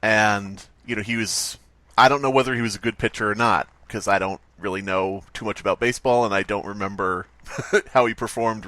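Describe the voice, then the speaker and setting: A man with a fairly low voice talks as a podcast host. He is wry and casual, swears now and then, and the sound is dry with hardly any room in it. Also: pushed and bright, no room sound. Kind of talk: casual comedic chat, reading stories aloud.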